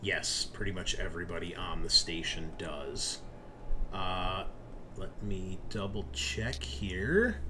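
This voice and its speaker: A man's voice: sits low, slow pace, background noise. A man narrating a tabletop roleplaying game as game master, improvising informally and addressing the players as "you".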